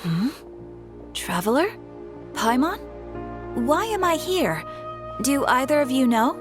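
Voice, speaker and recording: Slow-spoken, reserved young woman. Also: high voice, big pitch swings, music in the background, full character voice. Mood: puzzled. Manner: terse, deliberate short phrases. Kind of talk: scripted video game character dialogue.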